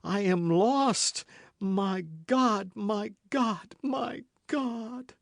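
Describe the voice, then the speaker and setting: Man doing a scared stammering queen, pleading, storybook reading, animated pitch, dry sound. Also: unhurried, no echo. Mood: despairing. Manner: stammering, anxious, imploring. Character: frightened, pleading queen, adult woman. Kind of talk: audiobook character reading.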